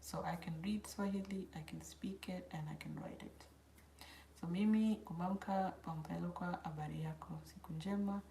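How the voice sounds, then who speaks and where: A woman with an East African accent hosts an informal livestream in a relaxed, slightly low voice. She talks conversationally, as if answering people in a chat. The room adds a clear echo around her words. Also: moderate pace. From South Sudan, grew up in Kenya. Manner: informal, switches between English and Swahili. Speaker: host of a hair channel. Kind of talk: casual livestream chat answering viewer questions. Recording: roomy echo, faint background noise.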